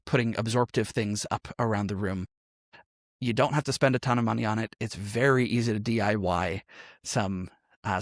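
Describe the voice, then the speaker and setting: A man's voice: medium pitch, dry recording, clean studio sound. A man speaking as a podcast guest in a conversational interview, explaining things in a thoughtful way. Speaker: podcast guest, musician and role-playing game performer.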